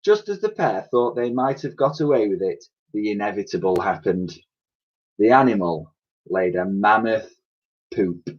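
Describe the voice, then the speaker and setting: Man reading a storybook with lively intonation. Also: fairly low pitch, lo-fi sound. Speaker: teacher reading aloud. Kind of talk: animated children's storybook narration.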